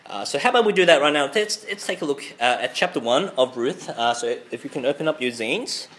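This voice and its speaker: A man's voice: medium pitch, animated, brisk, a bit bright. A man who is sick, preaching a sermon with many fillers and restarts. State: sick, voice a bit off. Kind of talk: sermon to a student group.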